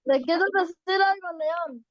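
A woman voicing a small, playful puppet friend with a squeaky, teasing voice. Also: unhurried, no echo, dull audio.

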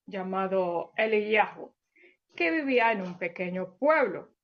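A gentle plush lion puppet, acted by a woman, coaxes little children along in a sweet, affectionate singsong. The voice sits a bit high and moves at an unhurried, drawn-out pace. It comes through a dull, lo-fi recording. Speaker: children's storyteller. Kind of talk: children's puppet show storytelling.

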